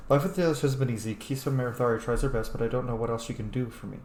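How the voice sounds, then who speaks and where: A man's voice: medium pitch, racing, a little mellow. A man doing casual, sweary let's play commentary and reading in-game letters aloud as he roleplays. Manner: sweary, informal. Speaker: game streamer roleplaying as his character. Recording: echoey room with faint background noise.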